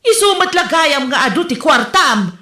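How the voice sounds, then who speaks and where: A woman's voice: sits high, sing-song, normal speed, sharp tone, exaggerated voice. A woman playing a scolding, exasperated sister with an Ilocano accent in a comedy drama. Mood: nagging. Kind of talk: Ilocano comedy radio-style drama acting. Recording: roomy echo.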